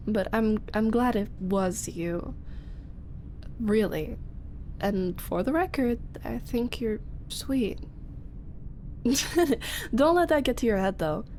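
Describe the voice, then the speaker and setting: Woman voicing a young female roommate, shy ASMR creator, soft half-whisper, unhurried, faint hum. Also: fairly high pitch, animated, low-quality recording. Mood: tender. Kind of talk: ASMR audio roleplay.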